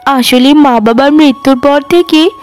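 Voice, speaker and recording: Woman playing a sweet, high-voiced orphan village girl. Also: unhurried. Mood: grateful. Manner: earnest, plaintive, grateful.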